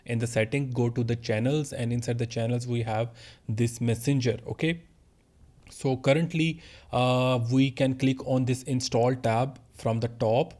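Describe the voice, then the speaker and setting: A man presenting an unscripted software tutorial, explaining things step by step as he goes. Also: medium pitch. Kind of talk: unscripted screen-recorded tutorial narration.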